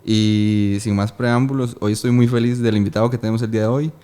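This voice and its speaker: A young man with a Costa Rican accent hosting a casual interview podcast, asking questions in a relaxed, friendly way. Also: lowish voice, a little fast. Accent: Costa Rican Spanish. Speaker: podcast host.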